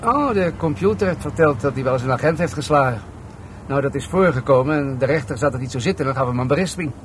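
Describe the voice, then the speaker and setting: A man playing a shrewd older police commissioner in a radio drama. He speaks at a middling pitch with formal, sceptical deliberation, and clear vehicle noise runs behind him. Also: lively intonation, fast, natural voice. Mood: sceptical, intent. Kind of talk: Dutch radio play acting.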